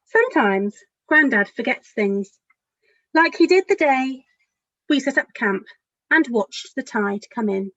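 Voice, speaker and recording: A woman's voice: high-pitched, animated, unhurried, a bit bright, dry recording, dull audio. A woman children's author reading a picture book aloud expressively, speaking directly to young listeners.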